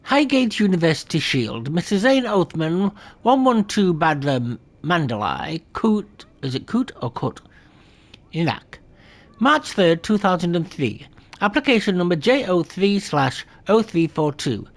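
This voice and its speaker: This is a gameplay commentator with a lively, swinging voice who reads the letters on screen out loud. The reading is plain and unpolished, with small stumbles that get corrected. Now and then the speaker breaks off to talk casually to the viewer. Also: medium pitch, a little slow. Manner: chatty asides to the viewer between readings.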